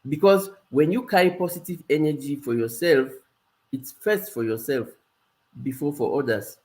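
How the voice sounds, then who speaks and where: A woman's voice: sits low, moderate pace, mellow. A woman from Cameroon with a Cameroonian accent, a life coach talking live to her viewers in an earnest way and repeating phrases for emphasis. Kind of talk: live-stream talk to viewers.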